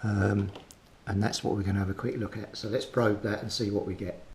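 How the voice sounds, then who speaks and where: A man with a fairly low voice talks through a hands-on repair step by step. He thinks aloud in a methodical, unscripted way. The room is quite echoey, with clear rumbling noise behind him. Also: little pitch movement, a little fast, darkish tone. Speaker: hobbyist radio repairer presenting his progress. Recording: roomy echo, clear rumbling background noise.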